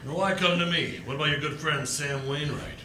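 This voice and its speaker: A man's voice: a little high, animated, fairly quick. A man playing a bitter, miserly old tycoon with a sneering, commanding manner in a live radio play. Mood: cold, probing. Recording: roomy live stage, faint ambient noise.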